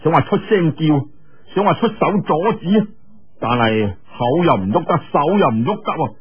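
A man's voice: fairly high pitch, sing-song, moderate pace, husky, muffled recording, exaggerated voice. A man voicing the inner thoughts of a lovelorn, anxious young swordsman in an audiobook, self-mocking and full of sighs and exclamations. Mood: alarmed, curious. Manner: sighing, exclaiming.

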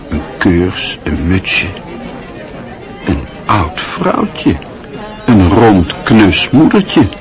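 A man with a very low voice narrates a radio play. He tells the story in a measured, expressive way, and his pitch rises and falls widely. Soft music sits faintly in the background.

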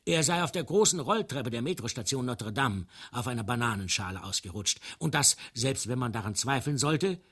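A man's voice: mid-range voice, fairly bright tone, breathy edge. A man giving a scripted reading of literary prose for radio.

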